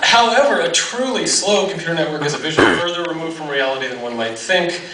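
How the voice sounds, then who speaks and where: Deadpan man in a very echoey, distant auditorium. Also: on the high side, quick pace. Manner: mock-formal, deadpan academic delivery. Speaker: researcher presenting a paper. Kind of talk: reading a mock-scientific paper aloud at a comedy talk. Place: large dark auditorium, on stage. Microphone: podium gooseneck, captured by distant audience camera. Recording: echoey auditorium from far off, noisy.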